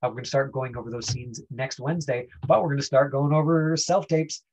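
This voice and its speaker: Husky man teaching an acting class. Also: medium pitch, steady tone, darkish tone, low-quality recording. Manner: halting, explanatory, frequent 'you know'. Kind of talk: informal lesson talk.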